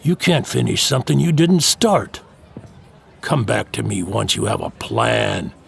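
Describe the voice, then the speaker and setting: An actor playing a wry, dismissive insider in an audio drama, with a gravelly, sardonic drawl. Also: male voice, mid-range voice, animated, measured pace, faint music behind. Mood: firm, sardonic. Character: wry, dismissive insider man who won't be pushed.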